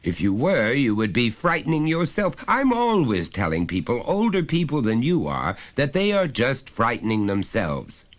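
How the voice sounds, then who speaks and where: Stern, self-important father for an audio short story, man performing, insistent and lecturing, extremely animated intonation. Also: mid-range voice, normal speed, hardly any pauses, voice pushed up. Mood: condescending. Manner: emphatic, lecturing, pompous. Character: overbearing, pompous middle-class father, stern and insistent.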